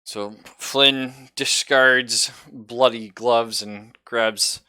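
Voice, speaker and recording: A man's voice: mid-range voice, fairly even intonation, extremely slow, pushed and bright, clear, resonant tone, no echo, studio quality. A man casually narrating a tabletop roleplaying game as game master, slipping into characters' lines and swearing.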